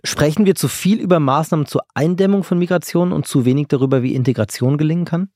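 A man interviewing a guest, brisk and affirming, asking direct questions. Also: fairly high pitch, mellow, dry recording, studio quality. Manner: brisk, affirming with short replies.

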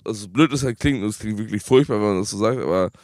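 A man's voice: fairly low pitch, fast, a little mellow, no echo. Casual man in his twenties, dry close sound. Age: late 20s. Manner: slangy, informal, stumbling over repeated phrases. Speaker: podcast host and moderator. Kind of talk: casual chat between friends.

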